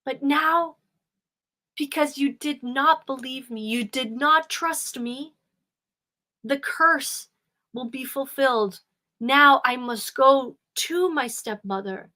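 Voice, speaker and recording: A woman's voice: high-pitched, bright, forceful tone, exaggerated voice. Woman telling a cursed bear prince, anguished.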